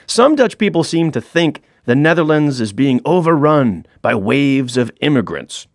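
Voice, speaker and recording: A man's voice: medium pitch, very animated, measured pace, no room sound, studio quality. A middle-aged man reading his own comic audiobook in a wry, self-mocking storytelling manner.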